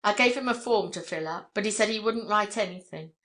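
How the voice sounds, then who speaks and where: A respectful young messenger boy, put on by a woman reader. He reports plainly and dutifully to his betters. The tone is bright and forceful, as character dialogue in an audiobook. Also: medium pitch, brisk, natural voice. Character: deferential messenger boy at a newspaper office.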